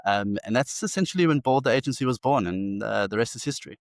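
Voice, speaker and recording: South African man, low dry voice. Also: animated, gravelly, dry recording. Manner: enthusiastic, fast storytelling with many restarts. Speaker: agency founder and CEO, guest. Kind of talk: casual interview talk.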